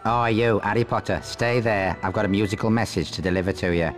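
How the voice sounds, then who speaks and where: Actor as deep-voiced bossy cupid messenger. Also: male voice, fairly even intonation, brisk, lightly acted. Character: gruff male card-carrying cupid messenger, bossy. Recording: loud music behind the voice.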